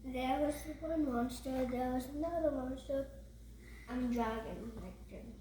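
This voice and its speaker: Slow, high-pitched casual female voice, echoey. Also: dark tone. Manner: simple, repetitive, halting phrases. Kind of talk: casual, unscripted pointing out of picture details. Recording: roomy echo with background noise.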